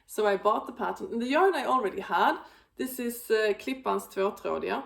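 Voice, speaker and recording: A woman hosting a knitting video, chatting casually about her projects, with a slightly raspy voice and a rambling, filler-heavy way of talking. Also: a little high, animated, brisk. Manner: rambling, many fillers and restarts. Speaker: knitting podcast host.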